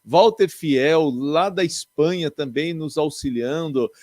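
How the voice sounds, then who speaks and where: A man's voice: on the high side, slow pace, darkish tone, no echo, studio quality. A man, a pastor, hosting an interview in a chatty style with frequent exclamations of praise. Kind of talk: casual interview.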